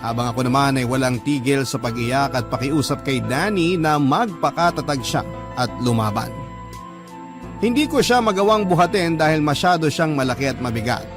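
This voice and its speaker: A man's voice: medium pitch, animated, moderate pace. Man, Filipino accent, dramatic storytelling narration, middle register, prominent music bed. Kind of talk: dramatic narration of listener's letter.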